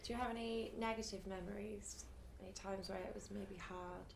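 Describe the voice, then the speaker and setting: A woman interviewer asking gentle, slightly hesitant questions. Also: mid-range voice, fairly even intonation, moderate pace, gravelly, a little hiss or hum.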